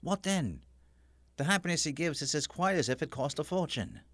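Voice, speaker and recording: Anguished older miser, acted by a man, higher voice, very animated, emotional, dry sound, audio drama. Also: normal speed, no room sound. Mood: earnest. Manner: emotional, earnest, exclamatory. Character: anguished older male miser, pleading and defensive.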